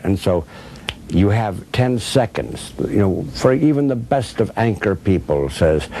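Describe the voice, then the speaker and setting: A man's voice: sits low, darkish tone. An older man reflecting conversationally in an interview, emphatically repeating key phrases as he tells stories. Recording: clear background noise and ambience.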